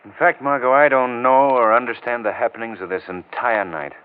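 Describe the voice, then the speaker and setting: A man playing a shrewd, composed gentleman sleuth with an urbane, dry manner in a 1940s radio drama. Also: medium pitch, fairly even intonation, brisk, slight background noise, close to an everyday voice. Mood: dry, thoughtful. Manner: urbane, dryly amused. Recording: muffled old-time radio recording.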